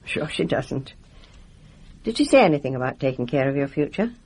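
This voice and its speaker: An actress playing a nosy, gossipy village nurse in a radio drama, confiding and full of advice. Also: female voice, extremely low pitch, very animated, a little fast, darkish tone, heavily acted. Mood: knowing. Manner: confiding, advising, knowing.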